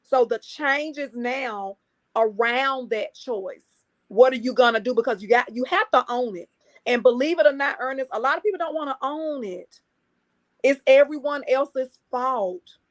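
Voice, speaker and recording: A woman's voice: sits high, measured pace. A woman guest on a podcast, speaking emphatically and stacking phrases for effect. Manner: emphatic, building lists for effect. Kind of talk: casual interview chat.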